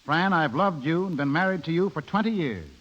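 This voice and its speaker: Middle-aged husband: blunt, terse, slightly high male voice, lively inflection, 1930s drama. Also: a little fast. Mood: firm, confronting. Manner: plain-spoken, terse. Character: blunt, wronged middle-aged American husband, determined to be reasonable. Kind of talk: 1930s radio acting. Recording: dull, lo-fi 1930s radio broadcast.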